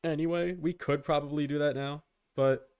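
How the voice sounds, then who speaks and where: A man's voice: a little high, steady tone, moderate pace, a little mellow, clear, resonant tone. A man giving casual live gaming commentary as a streamer, informal, with muttered asides and mild swearing. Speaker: video game streamer.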